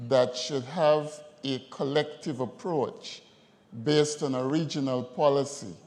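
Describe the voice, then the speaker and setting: A man from Guyana with a Guyanese accent, making an earnest, measured comment from the floor at a conference. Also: mid-range voice, a little mellow. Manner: measured, earnest, rhetorical questions. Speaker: audience member commenting. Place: large conference hall. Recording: reverberant conference hall.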